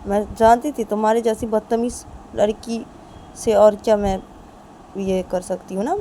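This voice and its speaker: A woman acts out an angry father in a homemade story drama. The voice scolds and accuses in halting, repeated warnings, protective of a child. Clear noise and traffic ambience sit behind it. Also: medium pitch, fairly even intonation, mellow, natural voice. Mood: angry, threatening. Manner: scolding, repetitive, halting threats. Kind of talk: amateur story acting.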